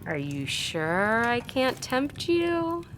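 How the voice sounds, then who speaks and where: An actress in an audio drama playing a guarded but loyal scholar, speaking earnestly and hesitantly. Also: female voice, a little high, sing-song, drawn-out words, background noise, full character voice. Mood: dry, calm. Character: guarded, loyal female scholar hiding a secret.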